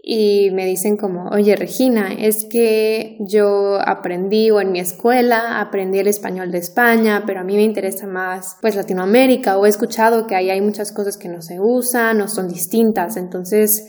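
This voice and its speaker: A woman's voice: medium pitch, steady tone, moderate pace, few pauses, a little mellow. A Mexican woman who teaches Spanish, co-hosting a podcast and explaining things in a conversational way with asides and tag questions. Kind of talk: casual podcast chat.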